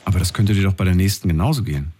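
A man's voice: deep voice, very fast, dark tone. A man hosting an evening radio call-in show, asking callers friendly, probing questions. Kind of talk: casual call-in radio talk.

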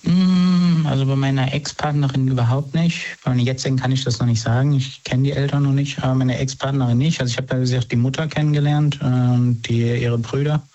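A man's voice: mid-range voice, steady tone, a little fast, few pauses, dark tone, highs cut off. A man answering interview questions casually, somewhat hesitant and rephrasing as he searches for words. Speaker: interview guest.

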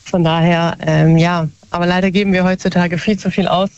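A woman's voice: on the low side, flat delivery, a little fast, darkish tone, clear, resonant tone, dry recording. A woman in her forties chatting casually, with lots of fillers and self-corrections. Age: 40s. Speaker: guest. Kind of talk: casual chat. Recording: dull lo-fi dry sound.

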